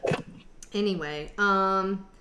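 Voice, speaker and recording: A woman's voice: medium pitch, slow pace. A middle-aged woman hosting a casual online group discussion, talking in a rambling, scattered way. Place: indoor room on an online video call. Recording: roomy echo, background noise.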